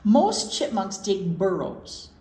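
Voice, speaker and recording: Animated woman reading to children, echoey room. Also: mid-range voice, slow. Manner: folksy, informal asides with repetition for emphasis. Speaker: children's storytime presenter. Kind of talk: read-aloud with chatty explanations for young children. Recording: echoey room with background noise.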